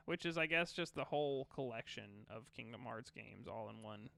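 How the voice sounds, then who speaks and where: A man's voice: mid-range voice, lively intonation, normal speed, creaky, bright, forceful tone, a little hiss or hum. A man hosting a podcast, reading through game release dates in a laid-back, casual way, with the odd swear word. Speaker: podcast host. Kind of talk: casual chat.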